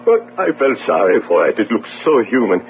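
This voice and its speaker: Anguished sailor, swooping voice. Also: male voice, fairly low pitch, big pitch swings, a little fast. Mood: pleading, defensive. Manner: earnest, defensive, emphatic. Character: poor, guilt-ridden sailor, anxious and pleading. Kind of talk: radio drama acting. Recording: narrow phone-like radio audio, faint noise.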